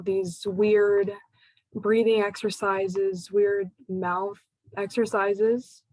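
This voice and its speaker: Slow, halting young woman. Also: medium pitch, darkish tone, dry recording, rough recording. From United States. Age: young adult. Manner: slow, halting, pausing between phrases. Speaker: speaker sharing her personal story. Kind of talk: personal talk to a support group.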